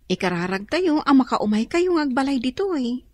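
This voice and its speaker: Best friend: young, inquisitive, swooping higher voice, radio drama. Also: female voice, sing-song, a little fast, a little mellow, dry recording. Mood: curious, supportive.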